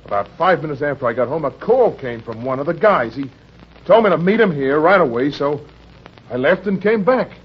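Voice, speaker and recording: Muffled vintage radio sound, desperate husband, American, husky, agitated, stammering, widely swinging pitch. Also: male voice, animated, brisk. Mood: anxious confessing. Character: Sam, desperate, frightened down-on-his-luck husband and father. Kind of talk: old-time radio crime drama acting. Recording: dull, lo-fi old radio recording.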